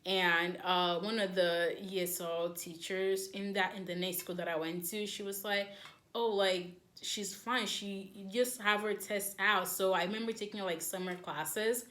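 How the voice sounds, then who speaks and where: A woman's voice: medium pitch, steady tone, unhurried, a bit bright. Young woman from nigeria, podcast cohost, emphatic, repeats herself for stress. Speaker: podcast co-host. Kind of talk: casual chat.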